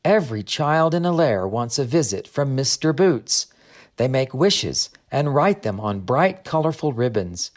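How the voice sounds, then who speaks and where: Man as patient storybook woman guide, expressive pitch. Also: a little slow. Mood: explaining. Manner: patient, explanatory. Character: Greta, friendly woman guide explaining her land's customs. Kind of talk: audiobook character dialogue.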